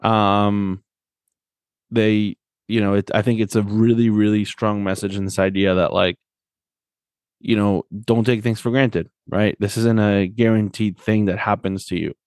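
A man with a fairly low voice chats casually about sport as a podcast host. The recording is dry and close, and he talks conversationally, often tagging his points with a check for agreement. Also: level tone, measured pace, a little mellow, clean tone, no echo. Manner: conversational, often seeks agreement with tag questions. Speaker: podcast host and soccer coach.

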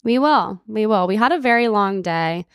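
A woman in her twenties co-hosting a podcast in a relaxed, friendly chat. She laughs along with her co-host. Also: medium pitch, moderate pace, clean tone, dry recording, clean studio sound. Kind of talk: casual chat.

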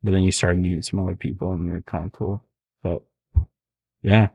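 A man's voice: sits low, level tone, a little fast, dark tone, husky, rough recording. A young man hosting a podcast, chatting casually with a friend, joking around and laughing often. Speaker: podcast host.

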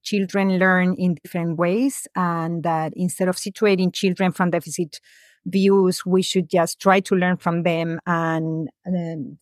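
A woman from Spain, a researcher answering interview questions in a measured, thoughtful way, pausing often. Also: on the low side, dry recording, studio quality. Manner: measured, thoughtful, frequent "um" pauses. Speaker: guest, education researcher.

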